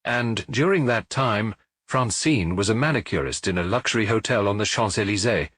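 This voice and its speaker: A man's voice: on the low side, expressive, normal speed, a bit bright, no room sound. A man voicing a French police inspector who questions a suspect firmly and insistently, yet with some sympathy, in an audiobook detective story. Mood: pressing, revealing.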